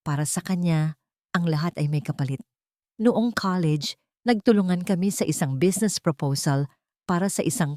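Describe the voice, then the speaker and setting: A woman's voice: sits low, mellow, no room sound, studio quality, natural voice. A woman voicing a bitter, betrayed man confiding in a narrated story. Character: bitter betrayed man recalling a rival's deceit.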